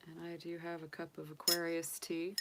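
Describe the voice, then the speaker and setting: A woman's voice: fairly low pitch, completely flat delivery, moderate pace, very noisy. A woman from the United States, casually chatting on a livestream as a tarot reader, rambling with many fillers and restarts. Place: home room with candles and sage.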